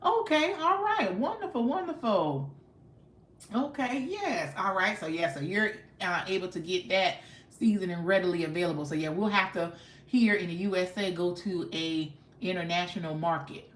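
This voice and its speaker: A fairly low-pitched American woman in a roomy, echoey recording, hosting a casual livestream. She chats effusively with viewers in folksy slang, often doubling up her thanks and affirmations. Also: expressive, normal speed, fairly bright tone. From United States. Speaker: livestream host.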